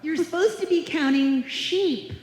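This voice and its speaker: A woman's voice: high voice, a little slow, darkish tone. A woman playing a sensible, exasperated roommate in a live old-time radio comedy, speaking emphatically. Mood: weary, patient. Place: live stage performance in a hall. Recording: echoey hall, live stage, faint noise.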